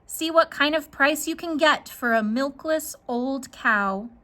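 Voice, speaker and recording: Woman as an exasperated, high-pitched farm mother. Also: measured pace, a bit bright. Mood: sad, resigned. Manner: stern, scolding, emphatic.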